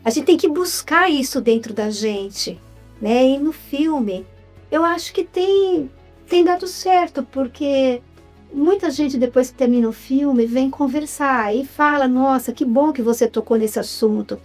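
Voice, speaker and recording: A woman's voice: high voice, unhurried, faint music behind. A Brazilian woman with a Brazilian Portuguese accent, a writer and filmmaker, talking earnestly as a guest in a casual interview.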